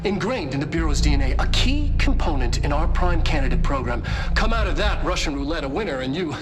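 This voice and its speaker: A man playing a grave older agency director, delivering a deliberate, cryptic monologue in a video game. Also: on the high side, sing-song, loud music behind the voice. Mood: portentous.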